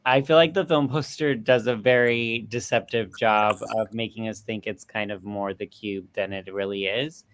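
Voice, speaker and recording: A man chatting casually as a film panelist, feeling his way through halting, meandering sentences. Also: lowish voice, steady tone, a bit bright. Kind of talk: casual movie discussion.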